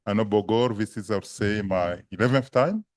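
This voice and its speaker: A man's voice: fairly low pitch, no echo, clean studio sound. A man from Congo with a French accent, a native French speaker giving a seminar lecture in a big, emphatic voice. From Democratic Republic of Congo. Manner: slow, emphatic listing with a big voice. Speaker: guest lecturer.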